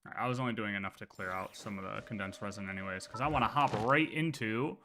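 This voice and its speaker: A man's voice: on the low side, fast. A man giving casual, rambling livestream gameplay commentary, often questioning and answering himself. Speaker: game streamer. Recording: game music behind voice.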